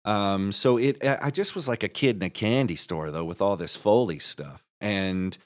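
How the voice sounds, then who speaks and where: Male interview guest, lower-pitched voice, casual and enthusiastic, explains his craft like a keen storyteller. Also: moderate pace. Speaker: interview guest, audio drama creator.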